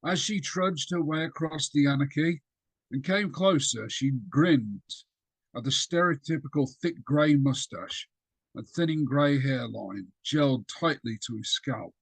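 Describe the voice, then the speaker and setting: Husky guy reading his own story on a video call, dry sound. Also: fairly high pitch, a little slow, dry recording. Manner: steady storytelling read with small slips. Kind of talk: reading a fiction extract aloud.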